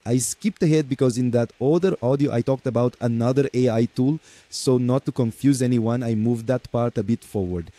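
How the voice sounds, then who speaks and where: A man with a Portuguese accent presenting a screen-recorded software tutorial, chatty and talking to viewers as folks with lots of okay and right. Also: medium pitch, normal speed, no room sound.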